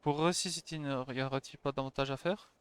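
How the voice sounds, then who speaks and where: A man's voice: a little high, steady tone, fast, a bit bright, clear, resonant tone. A man livestreaming a video game, commenting and reading dialogue aloud. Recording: faint background noise, clicks.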